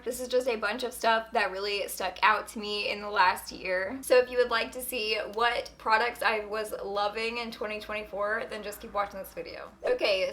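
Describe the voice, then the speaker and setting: A young woman with a hoarse voice from a sore throat, chatting casually as she reviews beauty products, full of filler words. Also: a little high, fairly bright tone. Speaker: beauty vlogger.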